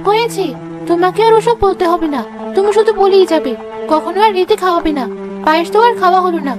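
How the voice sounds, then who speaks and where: A young, pouty village girl from a cartoon tale. Her voice is extremely high and girlish, with music clearly playing underneath. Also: fairly quick. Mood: sulky. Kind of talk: Bengali cartoon fairy-tale voice acting. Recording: music behind the voice.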